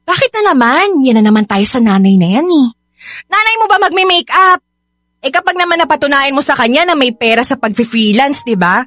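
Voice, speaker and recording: A woman's voice: high-pitched, lively intonation, a little fast, a bit bright, no echo. A woman with a Filipino accent playing a blunt, sassy, protective young office friend in a radio drama, emphatic and slangy. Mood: urging. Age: young adult. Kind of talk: radio drama acting in Taglish.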